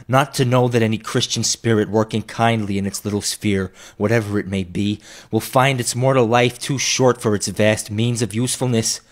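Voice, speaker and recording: A man's voice: lowish voice, pitched above the performer's own voice, natural voice. A man voicing the anguished, remorseful ghost of a dead businessman in a storybook reading, declaiming in a solemn wail. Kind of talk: dramatic classic storybook reading.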